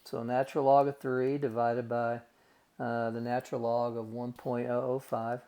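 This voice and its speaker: Gravelly-voiced man teaching math. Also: mid-range voice, level tone, moderate pace, mellow. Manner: folksy, talks to students as guys and gang; talks through calculations step by step. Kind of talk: recorded math lesson.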